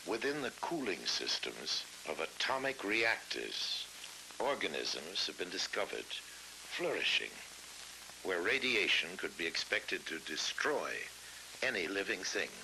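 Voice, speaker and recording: A middle-aged man narrating a scripted science documentary in a measured, dramatic way, with weighty pauses. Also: low-pitched, sing-song, pushed and bright, rough recording. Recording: hissy old film soundtrack.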